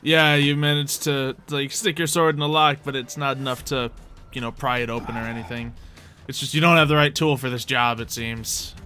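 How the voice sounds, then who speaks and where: A man's voice: mid-range voice, fairly even intonation, normal speed, bright, forceful tone, soft background music. A man acting as game master, casually narrating and ruling on a tabletop fantasy game in an easygoing way.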